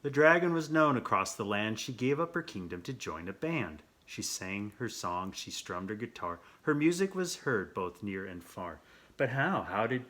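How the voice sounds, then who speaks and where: A man reading a children's picture book aloud as host, playful and theatrical, with expressive asides and questions. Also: on the low side, normal speed, few pauses. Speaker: storyteller, host of a read-aloud show.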